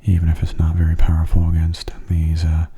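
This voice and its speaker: Hushed, very low breathy man. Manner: calm, unhurried, musing aloud. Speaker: gaming ASMR host. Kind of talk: soft-spoken ASMR game commentary. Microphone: close microphone.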